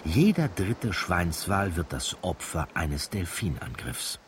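A wry storyteller in a radio drama, with a low voice. He narrates dramatically, sounding set back from the mic with clear ambient noise around him. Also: big pitch swings, takes it slowly, distant mic, full character voice. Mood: wry, storytelling. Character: wry male storyteller of a true-crime style nature drama.

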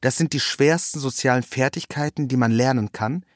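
A man's voice: mid-range voice, moderate pace, no room sound, studio quality, close to an everyday voice. A man voicing a self-assured, lecturing man over thirty who is devoted to dating coaching, in a read story. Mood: instructive, convinced. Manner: lecturing, matter-of-fact, peppered with English jargon. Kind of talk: quoted dialogue in a read story.

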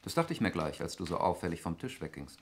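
An actor playing an irritable, analytical lawyer in a 1960s German radio play, arguing precisely and testily. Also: male voice, low-pitched, big pitch swings, quick pace. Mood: testy. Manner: argumentative, precise, testy. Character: irritable, analytical lawyer, brother in a wealthy family. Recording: noisy 1960s radio drama recording.

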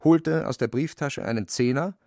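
An actor as the storyteller of a radio play, narrating in a measured, wryly dramatic way with a creaky voice. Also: male voice, mid-range voice, darkish tone, slight background noise, lightly acted.

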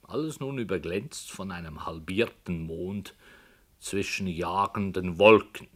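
An actor playing a brooding, hesitant author who tells a dark story in a slow, hushed voice that sometimes drops to a whisper, in 1960s radio play style. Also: male voice, low-pitched. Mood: musing.

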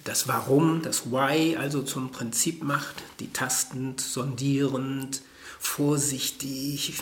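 A male professor and education researcher explaining his ideas in a thoughtful, measured interview. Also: medium pitch, fairly even intonation, a little hiss or hum. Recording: roomy echo.

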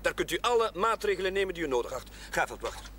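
Earnest high-voiced curate. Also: male voice, sing-song, rapid-fire, fairly bright tone. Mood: resolute. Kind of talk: 1970s television drama acting. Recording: faint noise on old TV audio.

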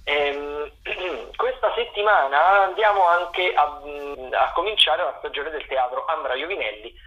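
A man's voice: a little high, a little slow. A man presenting theatre listings as a chatty, playful critic over a phone line. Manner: playful, discursive, with asides. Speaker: theatre critic, radio contributor. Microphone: telephone.

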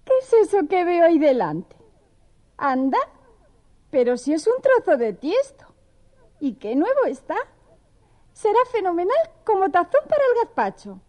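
A coquettish little lady mouse from a children's story, extremely high and squeaky in pitch. She exclaims theatrically with swooping intonation, fussy and pleased with herself, taking her time over each phrase. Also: sing-song, measured pace, pauses often, warm tone, exaggerated voice. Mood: curious, delighted. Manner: exclamatory, self-admiring, theatrical. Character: vain, coquettish young female mouse, cheerful and fussy. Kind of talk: children's audio story acting.

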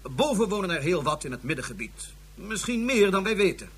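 A man's voice: high-pitched, big pitch swings, brisk, a bit bright, husky, full character voice. An actor playing a haughty male Martian ruler, speaking in a formal, condescending way. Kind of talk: radio drama acting.

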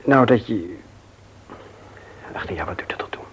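An actor playing an earnest, disappointed suitor who speaks haltingly in a radio drama. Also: male voice, lowish voice, animated, a little hiss or hum. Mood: taken aback, hurt. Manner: halting, hesitant. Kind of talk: intimate radio drama dialogue.

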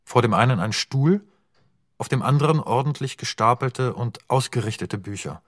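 A man's voice: medium pitch, expressive, moderate pace, no room sound, clean studio sound, close to an everyday voice. A man narrating an audio drama, reading screenplay directions in clipped, matter-of-fact phrases.

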